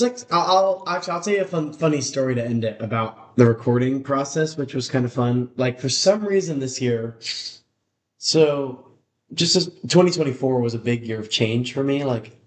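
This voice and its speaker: Raspy male musician guest, rambling casual chat, mid pitch, roomy echo. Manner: rambling, chatty, many fillers.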